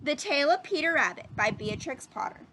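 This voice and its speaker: A woman reads a picture book aloud for children in a high voice with lots of rise and fall. Her delivery is instructive, like a teacher guiding young listeners through the tale. There is faint noise behind her. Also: sing-song, fairly quick. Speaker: storybook reader and teacher. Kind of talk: children's read-aloud narration.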